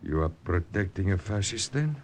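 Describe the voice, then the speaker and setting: An actor playing a menacing, suspicious Italian partisan leader who interrogates mockingly in an old-time radio drama. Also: male voice, sits low, sing-song, moderate pace, slight background noise.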